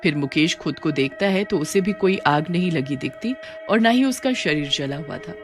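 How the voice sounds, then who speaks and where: A woman's voice: sits low, animated, normal speed. Female narrator, dramatic storytelling, scripted cartoon tale, music in the background.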